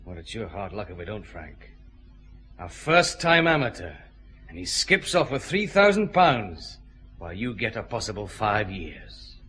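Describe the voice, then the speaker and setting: An actor playing a middle-aged Scottish chief inspector in a radio detective drama, brisk, pressing and confident, with dry humour. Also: male voice, lowish voice, big pitch swings, a bit bright, a little hiss or hum. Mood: forceful, confronting.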